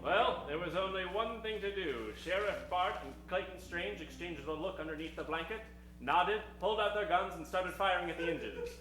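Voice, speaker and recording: High-voiced male old-time radio narrator. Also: expressive, moderate pace, a bit bright. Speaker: host and narrator. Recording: echoey live stage, keyboard music behind. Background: keyboard music.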